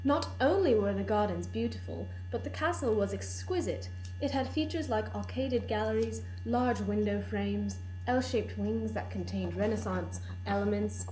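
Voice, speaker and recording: A woman's voice: mid-range voice, dark tone, lightly acted. A woman playing an admiring tourist who loves architecture, in a scripted puppet show. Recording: echoey room with faint hum.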